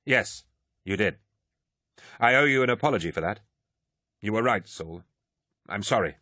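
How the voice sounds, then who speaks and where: A man's voice: lowish voice, animated, moderate pace, pushed and bright, dry recording, studio quality. A man voicing a proud young warrior with an earnest, solemn and slightly childlike tone. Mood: contrite.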